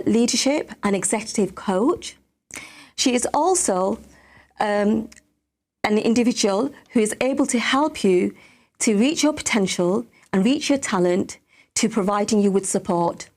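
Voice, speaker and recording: A woman hosting an interview, her voice croaky from a cold, speaking politely and thanking her guest often. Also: mid-range voice, darkish tone. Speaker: host. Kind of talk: hosted interview.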